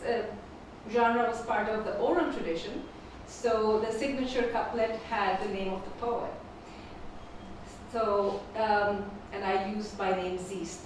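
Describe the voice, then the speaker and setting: A woman whose first language is Urdu, reading her poems slowly and repeating each first line at a live poetry event. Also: fairly high pitch, noisy background. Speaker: featured poet. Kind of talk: poetry reading with spoken introductions. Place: performing arts venue. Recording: echoey hall from far off.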